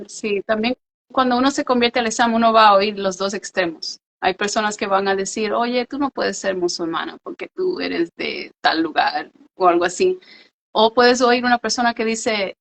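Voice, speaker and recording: A woman's voice: mid-range voice, creaky, low-quality recording. A woman co-hosting a casual live-stream chat, explaining in an earnest way with some fillers, over a video call. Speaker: co-host of a live talk show.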